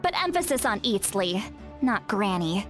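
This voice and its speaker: A woman's voice: medium pitch, sing-song, moderate pace, over music, close to an everyday voice. An actress playing a haughty, teasing, young-looking elder woman in a video game, with theatrical, sardonic phrasing.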